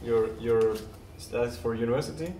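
A young man puts questions in a loose, conversational style with some halting phrasing. The recording is distant and reverberant, with a light layer of noise. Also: mid-range voice, warm tone. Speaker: interviewer, podcast host. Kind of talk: casual interview conversation. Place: large empty café-like room, at a table indoors. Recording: roomy, distant mic, faint noise.

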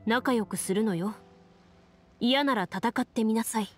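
An actress playing a composed, serious young woman duelist in a video game, speaking in a calm, measured, formal way. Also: a little high, faint music behind, natural voice. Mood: calm, reflective. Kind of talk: Japanese game voice acting.

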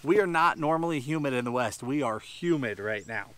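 A man in his thirties giving a fishing tutorial on a boat outdoors, explaining with lively gestures while sweating in the heat. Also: medium pitch, a little fast. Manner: explanatory, animated hand gestures. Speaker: fishing instructor. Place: seated on a bass boat outdoors by a tree-lined shore.

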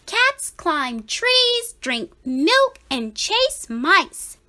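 A big, round lady cat teacher played by a woman reader makes announcements in a high, swooping voice. She is cheerfully authoritative and emphatic, speaking slowly for a storybook audience of pupils. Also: sing-song, bright, forceful tone, exaggerated voice. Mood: cheerful, proud. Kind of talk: storybook read-aloud character.

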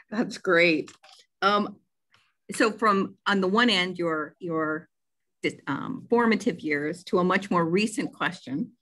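A woman's voice: fairly low pitch, rough recording. Polite woman moderating a call. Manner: polite, measured, with soft fillers. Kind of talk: reading audience questions. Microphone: video call.

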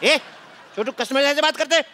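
A man's voice: very high voice, fairly quick. A male comedian playing a brash, dim-witted coffee-shop rowdy in a stage sketch, blunt, threatening and clownish. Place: large indoor TV studio stage with audience. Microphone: headset. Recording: loud audience, very noisy TV studio.

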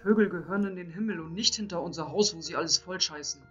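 A man's voice: high-pitched, quick pace, sharp tone, faint music behind. A man voicing a scornful, scolding village mother in a fantasy audio drama, with slightly muffled sound. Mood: annoyed. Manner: snapping, scolding. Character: scornful village mother griping about birds.

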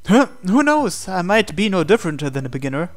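A woman with a low voice reads a game's dialogue aloud. She delivers the hero's lines conversationally, as a player would, with soft music behind her. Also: big pitch swings, fairly quick. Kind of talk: reading translated visual novel text aloud.

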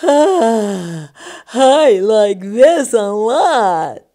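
A woman voicing a cold, shivering snowman from a children's storybook, with a quavering, drawn-out, exaggerated delivery. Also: on the high side, sing-song, warm tone, full character voice. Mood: blissful.

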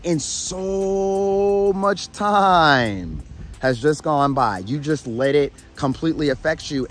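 Hoarse, fired-up motivational man. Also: on the high side. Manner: energetic, preachy, repeats "all right" and "family". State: hoarse, losing his voice; tired. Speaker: motivational YouTube host and coach. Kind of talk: motivational talk to camera. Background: background music.

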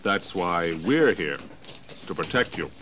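A plain-spoken soldier of colonial times has a deep voice. He is matter-of-fact, in radio drama style, slightly distant with clear noise behind. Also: lively intonation, a little slow. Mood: reassuring. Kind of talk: historical audio drama acting. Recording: distant mic, noisy old recording.

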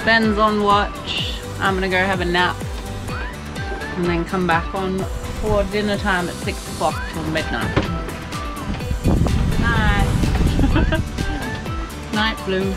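A woman's voice: medium pitch, fairly even intonation, slow pace, long pauses, over loud music. An Australian woman in her thirties chatting casually to a handheld camera outdoors on a boat. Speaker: sailing vlogger. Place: outdoors on a sailboat cockpit at sea. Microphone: handheld action camera at arm's length.

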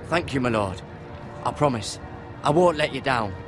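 A man's voice: medium pitch, expressive, normal speed, lightly acted. An actor playing a loyal, earnest young male squire in a medieval fantasy game, deferential in manner. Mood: earnest, respectful. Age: young adult. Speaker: video game character actor. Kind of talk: cinematic fantasy game dialogue. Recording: game music behind the voice.

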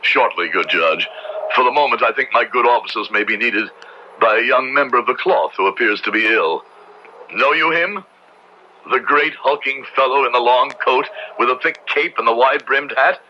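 An actor playing a fanatical, merciless Puritan judge in an old radio drama, declaiming in pompous, archaic preacher's speech. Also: male voice, mid-range voice, normal speed, sharp tone. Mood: stern, cold. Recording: noisy, dull old radio drama recording.

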